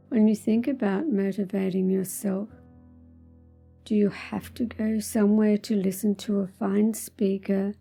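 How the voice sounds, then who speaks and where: Female meditation guide, very mellow and heavily creaky, soothing, deliberate phrasing over background music. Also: mid-range voice. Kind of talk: guided meditation, spiritual talk.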